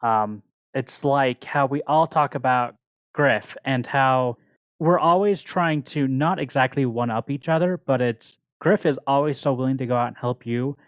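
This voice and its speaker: A man's voice: mid-range voice, measured pace, clean tone, dry recording. Man in a reflective, dry-sounding book chat. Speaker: book club podcast co-host. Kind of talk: casual book discussion.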